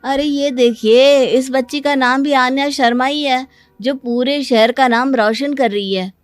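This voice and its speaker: A woman's voice: high-pitched, steady tone, unhurried, dry recording. A woman playing a courteous, emphatic working mother, acted as dialogue in a cartoon story. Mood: admiring. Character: polite, admiring working mother, a family acquaintance. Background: music.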